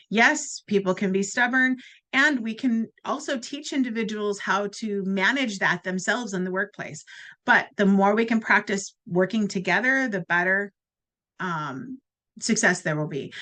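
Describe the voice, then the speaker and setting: A woman presenting her program on a video call, giving direct, encouraging advice with lively emphasis. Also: mid-range voice. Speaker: program presenter at a community forum.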